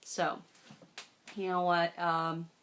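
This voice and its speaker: A woman's voice: on the low side, monotone, takes it slowly, a bit bright. A young adult American woman chatting casually to camera as she tells a personal story, bubbly, gushing and emphatic. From United States. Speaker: vlogger telling a personal story.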